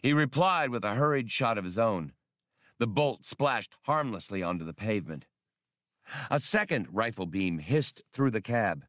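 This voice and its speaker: A man's voice: on the low side, lively intonation, normal speed, a bit bright, dry recording, clean studio sound. A man reading an adventure novel as scripted, dramatic audiobook narration.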